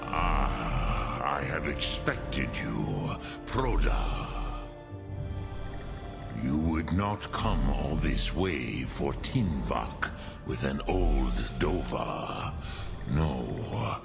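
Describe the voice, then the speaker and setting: An actor voicing an ancient, wise male dragon in a fantasy video game, gravelly and ponderous, patient and philosophical, mixing in archaic words. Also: very deep voice, animated, very slow, exaggerated voice. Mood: pleased, knowing. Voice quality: gravelly, weighty. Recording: game audio under loud music.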